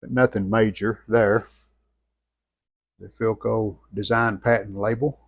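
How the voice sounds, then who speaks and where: A man giving an unscripted hands-on restoration walkthrough in plainspoken, halting short phrases. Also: fairly low pitch, steady tone. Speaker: radio restorer presenting own channel.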